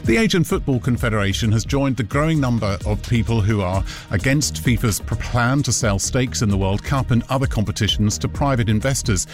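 A man's voice: medium pitch, expressive, a little fast, soft background music. A man reading a scripted radio news bulletin. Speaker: radio newsreader.

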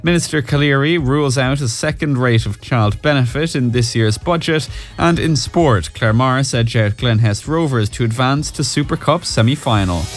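A man's voice: mid-range voice, moderate pace, few pauses, soft background music. A man with an Irish accent reading scripted news as a radio presenter at a close studio microphone.

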